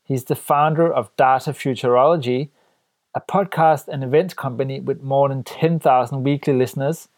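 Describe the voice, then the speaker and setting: A man hosting a podcast, reading a measured, clearly worded introduction before interviewing his guest. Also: medium pitch, dry recording. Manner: measured, clearly read introduction, warm and formal. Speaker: podcast host. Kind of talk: scripted intro then interview.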